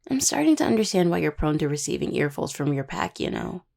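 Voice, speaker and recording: Woman acting husky, gravelly young necromancer partner. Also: sits low, big pitch swings, fast, darkish tone, exaggerated voice. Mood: playful teasing. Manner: hesitant, teasing, full of pet names. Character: caring, teasing young necromancer healer, affectionate partner. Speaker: voice actor in roleplay audio. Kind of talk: intimate conversational audio roleplay.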